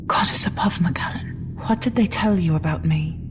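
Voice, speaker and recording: A woman's voice: on the low side, moderate pace, in a whisper, over music, close to an everyday voice. An actress playing a proud, regal, middle-aged immortal queen with formal, theatrical speech in an audio drama. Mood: astonished, dignified.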